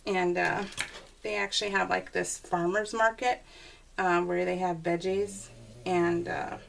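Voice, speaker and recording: A woman's voice: fairly low pitch, measured pace, gravelly, slight background noise. Chatty lady rambling through personal stories while crafting, casual, mic is a bit far off. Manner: chatty, animated when retelling conversations. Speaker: crafting vlogger. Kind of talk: casual chat, rambling personal storytelling over a craft process video. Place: indoors at a craft table.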